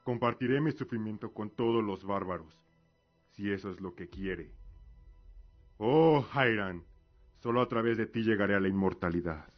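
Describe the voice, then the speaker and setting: A stern, devout warrior father acted by a man in a radio drama, grieving and bent on vengeance. His low voice is solemn and declamatory. The sound is muffled, with music behind him. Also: normal speed, natural voice. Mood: bitter, resolved.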